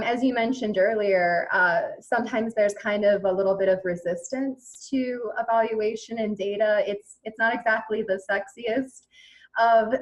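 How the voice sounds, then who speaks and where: A woman with a mid-pitched voice gives explanations as a guest consultant in an interview. Her delivery is measured and conversational, like someone walking a host through her field. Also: poor audio.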